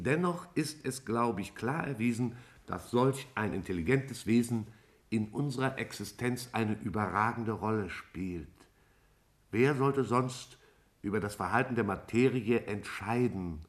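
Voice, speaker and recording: Man voicing a measured, lofty alien cloud intelligence. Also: mid-range voice, natural voice. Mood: solemn, pondering. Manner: measured, didactic, formal. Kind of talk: 1950s German radio play acting.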